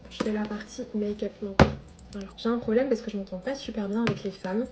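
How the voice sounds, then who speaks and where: A woman's voice: mid-range voice, steady tone, fast, heavy background noise. A woman chatting casually while doing her makeup, complaining about her social life. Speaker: makeup vlogger.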